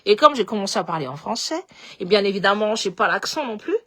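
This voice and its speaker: A woman with a slight Malagasy accent talking in a casual, animated way, repeating words as she goes and switching between languages. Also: medium pitch, quick pace, husky. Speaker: political commentator. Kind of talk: casual live talk.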